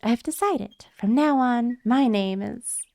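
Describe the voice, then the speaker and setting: A woman's voice: on the high side, slow pace, vocal fry, a little mellow, no room sound. A woman voicing a sheltered young princess in a video game, formal, earnest and determined. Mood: resolved.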